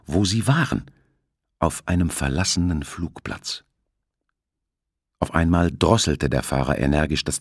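Low-voiced male audiobook narrator. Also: husky, dry recording, studio quality. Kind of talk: scripted novel narration.

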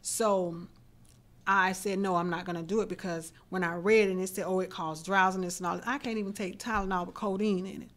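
A woman's voice: lowish voice, fairly even intonation, a little fast, fairly bright tone. A middle-aged woman hosting a radio interview in a small studio, talking earnestly and reflectively into a desk mic. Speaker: host. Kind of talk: casual interview chat. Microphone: desk mic on stand.

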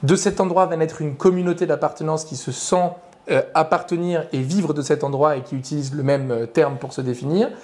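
A man's voice: a little high, steady tone, fairly quick, darkish tone. A young adult man, an author invited as a guest, giving long structured explanations that list his points in order. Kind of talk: explanatory interview answers.

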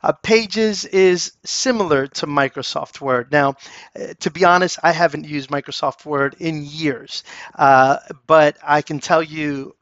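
Chatty man teaching a software course. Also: a little high, measured pace, no room sound. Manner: chatty, filler-heavy, enthusiastic. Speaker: course instructor. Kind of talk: casual software tutorial voice-over. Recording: dull, slightly lo-fi dry voice-over.

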